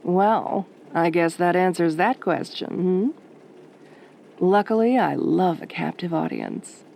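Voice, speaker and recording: A woman playing a sultry, teasing late-night radio DJ in a soft-spoken roleplay, with a velvety voice and a flirty, wry drawl. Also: fairly low pitch, big pitch swings, slow pace, creaky, slight background noise, heavily acted. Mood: amused, flirty.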